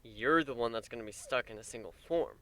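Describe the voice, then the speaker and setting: Man voicing a bright, caring, wry pony husband. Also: mid-range voice, brisk, pitched below the performer's own voice, natural voice. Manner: casual, gently wry, hesitant in places. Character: good-humoured, caring male pony husband, wryly teasing.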